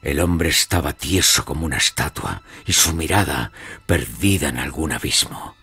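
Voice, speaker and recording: A man narrating a dramatized mystery story in scripted, measured literary style. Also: extremely low pitch, lively intonation, pushed and bright, faint music behind.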